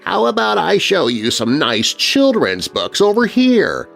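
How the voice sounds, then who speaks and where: Female librarian read by male narrator: nervous, gently discouraging, slightly high, storybook delivery over music. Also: huge pitch swings, unhurried, gravelly, full character voice. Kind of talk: children's scary storybook voice.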